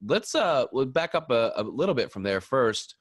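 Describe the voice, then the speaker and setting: A man's voice: medium pitch, sing-song, moderate pace, slightly rough, no room sound, studio quality. A man hosting a podcast interview who asks questions in a casual, chatty, joking way. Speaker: podcast host.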